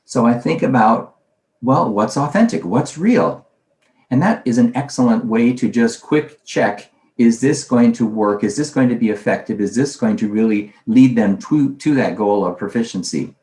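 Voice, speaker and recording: A man's voice: mid-range voice, expressive, moderate pace, darkish tone. Man lecturing in a roomy space. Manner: conversational, asks himself questions aloud. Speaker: conference speaker on language teaching. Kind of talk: recorded presentation lecture. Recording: roomy echo.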